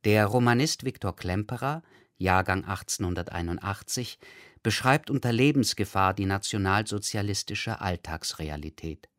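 Low-voiced sober male narrator. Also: animated, moderate pace, fairly bright tone, no echo, clean studio sound. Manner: sober, factual. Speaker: announcer introducing a radio play. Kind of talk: scripted narration.

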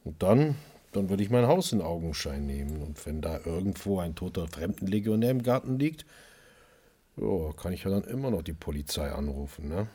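An actor playing a wry, stubborn chef who narrates his own radio crime drama in a husky voice. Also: low-pitched, sing-song, moderate pace, warm tone, full character voice. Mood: weary, stubborn. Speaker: lead actor and first-person narrator.

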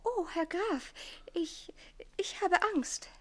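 A woman's voice: very high voice, lively intonation, slow, a little mellow, heavily acted. A woman playing an anxious noblewoman posing as a maid in a radio drama, protesting urgently in a fluttery manner. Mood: nervous, frightened.

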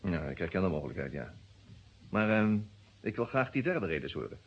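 A proud male lawyer under suspicion in a vintage radio crime drama. His husky voice swings widely in pitch as he throws out sardonic, mock-formal challenges. The sound is muffled and lo-fi. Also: very animated, brisk, heavily acted. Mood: guarded. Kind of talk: Dutch detective radio drama acting. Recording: dull lo-fi old radio recording.

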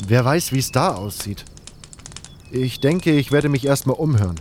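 A man playing a smitten, awkward young adventurer who speaks haltingly in a scripted fantasy audio drama. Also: medium pitch, animated, darkish tone, slight background noise, natural voice. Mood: musing. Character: nameless male adventurer, smitten, awkward, self-deprecating hero. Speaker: narrator and lead actor of a fan audio drama. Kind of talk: first-person scripted narration and dialogue.

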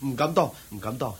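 An actor playing a poor, humble and excitable devout villager and husband in a Hong Kong Cantonese radio drama. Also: male voice, medium pitch. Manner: deferential, excitable, chuckling and sighing.

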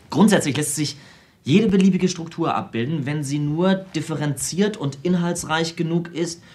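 Actor as eccentric, self-absorbed computer whiz, explaining. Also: male voice, medium pitch, lightly acted. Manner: explanatory, lecturing, halting in places. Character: eccentric, self-absorbed American computer programmer, brilliant tinkerer. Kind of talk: naturalistic radio drama dialogue.

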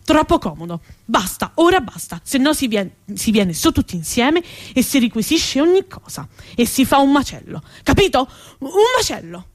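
A woman's voice: high-pitched, very animated, moderate pace, hardly any pauses. A woman performing a comic theatrical monologue as an indignant, sarcastic, foul-mouthed female rat who sees herself as a proper lady. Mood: indignant, demanding. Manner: ranting, sarcastic, emphatic.

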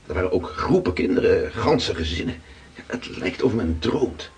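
An actor playing a Dutch sailor slowly recounting his voyage in a radio play. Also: on the low side, big pitch swings, darkish tone, slightly rough, full character voice. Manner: slow, vivid recounting. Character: weathered Dutch sailor telling voyage tales.

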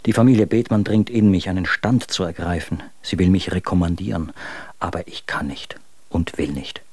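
Bitter young poet-husband, deep register, dramatic letter reading for radio drama, dry studio. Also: male voice, normal speed, a little mellow, breathy edge, dry recording. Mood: despairing. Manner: literary, confessional, dramatic.

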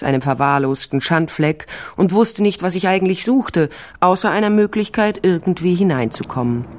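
A woman's voice: sits low, a little mellow, natural voice. An actress playing a tough, sly private investigator, narrating her case tersely and dryly in a crime radio drama.

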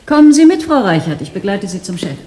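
An actress playing a cool, formal and dryly wry clinic doctor in a radio play, with background noise. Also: female voice, medium pitch, big pitch swings, fast talker, dark tone, close to an everyday voice. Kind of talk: 1990s radio drama acting.

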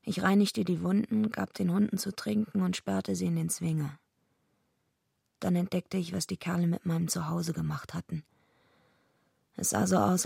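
Tough female fighter, heavy gravelly fry, dry close sound, bitter, blunt, sardonic, radio drama narration and dialogue. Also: lowish voice, a little mellow, no room sound, heavily acted. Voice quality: gravelly, husky. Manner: gruff, blunt, sardonic, swearing. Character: tough, foul-mouthed female wrestler living rough, bitter, defiant.

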